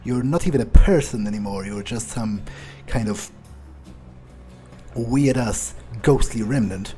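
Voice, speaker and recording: Guy doing a slow talking vampire for a game playthrough, soft dark tone, cold and sweary, thinks out loud, some music under it. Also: medium pitch, natural voice. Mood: menacing. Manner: musing, halting, profane, thinking aloud. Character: ruthless, foul-mouthed male vampire, calculating, menacing, musing aloud. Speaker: roleplaying game player. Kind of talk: in-character improvised game playthrough monologue.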